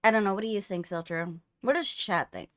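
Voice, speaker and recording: A woman's voice: mid-range voice, a little fast, fairly bright tone, no echo. A woman hosting a livestream in casual chat, teasing her co-host playfully, close on the mic.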